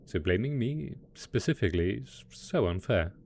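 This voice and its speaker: A man's voice: fairly low pitch, expressive, moderate pace, gravelly, darkish tone. A man voicing a melodramatic, possessive mad scientist supervillain boss in a roleplay audio drama, smug and coaxing in manner. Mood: indignant, defensive. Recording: faint background noise.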